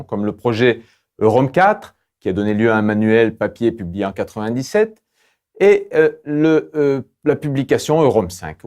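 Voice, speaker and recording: A male lecturer with a mid-pitched voice narrates a scripted online lesson. His phrasing is formal and didactic. He moves through the material in a measured, explanatory way. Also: darkish tone.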